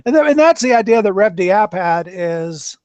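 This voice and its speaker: A man's voice: high-pitched, expressive, moderate pace, darkish tone, no echo. A man hosting a casual car chat, talking in loose, rambling sentences with many restarts. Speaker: podcast host. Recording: dull lo-fi dry sound.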